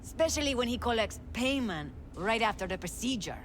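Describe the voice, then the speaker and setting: A woman's voice: fairly high pitch, animated, a little fast, slight background noise, natural voice. A woman playing a sarcastic, street-tough young woman. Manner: sarcastic and sassy. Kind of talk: video game cutscene acting.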